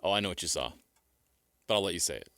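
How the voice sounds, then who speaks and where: Co-host for sports talk: American man, lowish voice that swings widely, casual banter. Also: animated, fast, sharp tone, no room sound, studio quality. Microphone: close studio radio microphone.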